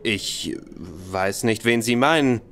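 A man's voice: medium pitch, slow, fairly bright tone. An actor playing a bitter, tipsy young nobleman who rambles spitefully in a radio play. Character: Sebastian Conroy, young nobleman, bitter, drinking, boastful.

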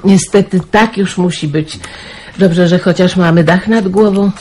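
An actress playing a careworn, kindly middle-aged aunt in a radio play, homely and chatty, with sighs. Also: female voice, fairly low pitch, steady tone, unhurried, a little mellow, natural voice. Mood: resigned, weary. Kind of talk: 1990s Polish radio play acting. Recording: noisy radio drama recording with clicks.

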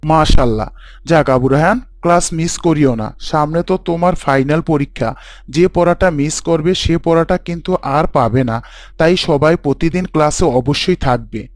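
Schoolteacher, male actor: gentle, fatherly, easily cowed, slightly high pitch, dry recording. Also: fairly quick, dry recording, close to an everyday voice. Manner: fatherly, pleading. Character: kindly male schoolteacher, meek when threatened.

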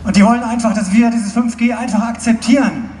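A young woman giving a loud, declaiming live translation at an outdoor rally through a handheld mic. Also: on the high side, fairly even intonation, fairly quick. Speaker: interpreter. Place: outdoor stage at a large crowd rally. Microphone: handheld mic, filmed from far in the crowd. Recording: echoey, distant crowd phone recording. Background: cheering crowd and ambient noise.

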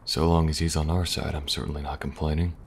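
A man playing a calm, protective young special agent in an ASMR audio roleplay, speaking softly and reassuringly with dry humor and a gravelly, at times breathy voice. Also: very deep voice, fast talker. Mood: gentle, reassuring. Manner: soft, hesitant, reassuring, dryly humorous. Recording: audible background noise, ambience.

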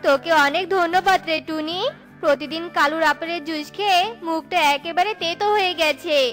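A woman's voice: very high voice, brisk, a bit bright. A woman voicing a friendly bird customer in a children's cartoon, gushing with appreciation. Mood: delighted, grateful. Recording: faint music under the voice.

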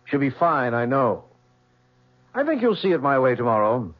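A middle-aged, domineering father from a vintage radio play. His voice is husky with an animated range, and the recording is a bit dull. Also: medium pitch, normal speed. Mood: cool, firm. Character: domineering, coolly self-assured wealthy father. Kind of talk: 1970s radio drama acting.

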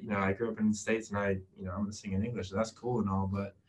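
Young man, low-pitched voice, casual video-call guest, animated, rambling with fillers. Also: rapid-fire. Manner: animated, loose, lots of fillers. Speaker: guest from a music data company.

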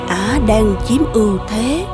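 A woman voicing a shrewd, scheming empress in an animated fairy tale, speaking in a measured, courtly way. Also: on the high side. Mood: suspicious, calculating. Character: shrewd, suspicious, scheming empress, soft-spoken to her emperor. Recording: music playing behind the voice.

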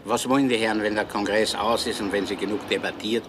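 An actor playing a genial, talkative middle-aged police inspector with a Viennese accent in a radio crime drama. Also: male voice, lowish voice, fast talker, lightly acted. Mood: chatty. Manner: chatty, easygoing, lively. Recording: hissy old radio recording.

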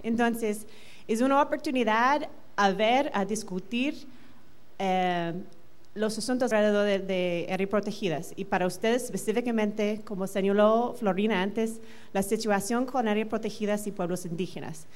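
A middle-aged American woman whose first language is English, giving a conference talk in a large hall on a handheld mic, quick with many fillers. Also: mid-range voice. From United States. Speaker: conference presenter. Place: large hotel conference room. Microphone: handheld microphone.

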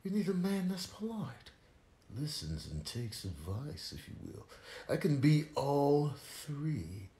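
A man playing a smooth-talking young ladies' man, reciting comic rhymes in hushed, suave patter. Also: on the high side, sing-song, slow pace, echoey room, slight background noise. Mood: suave, boastful.

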